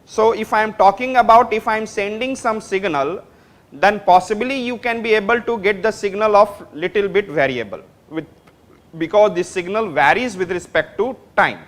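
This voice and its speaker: A man's voice: high voice, moderate pace, a bit bright. A man teaching a class in Indian-accented English, repeating his points step by step and often checking that students follow. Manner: repetitive, explains step by step, checks understanding. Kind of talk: classroom lecture.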